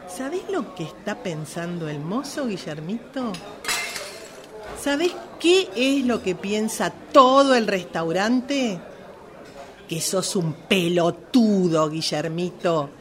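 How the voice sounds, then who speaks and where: A woman's voice: medium pitch, expressive, takes it slowly. A woman playing a bossy, menacing jilted girlfriend in a radio comedy, with a Rioplatense accent and a commanding, sarcastic manner. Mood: scornful. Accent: Rioplatense Argentine. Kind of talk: radio drama comedy acting.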